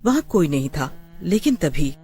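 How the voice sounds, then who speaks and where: A man's voice: fairly high pitch, very animated, normal speed, darkish tone. A man narrating a scripted horror story in a dramatic storytelling style.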